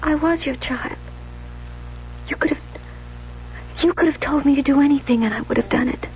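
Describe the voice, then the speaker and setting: A woman's voice: high voice, moderate pace, a little hiss or hum, natural voice. An actress playing a jealous, unstable young woman in a 1970s radio drama, intense and pleading, on a dull vintage recording. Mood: hurt, accusing. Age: young adult. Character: jealous, obsessive, unstable young bride-to-be turned killer.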